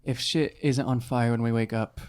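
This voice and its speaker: A man of medium pitch talks as a player in a tabletop game. His delivery is casual and conversational, with a wry, joking edge. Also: steady tone, normal speed, a little mellow, clean tone.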